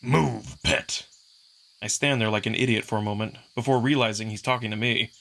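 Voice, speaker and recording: A man's voice: on the low side, steady tone, fast, fairly bright tone. A man narrating a visual novel in the first person as a dramatic story reading. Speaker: narrator and voice performer.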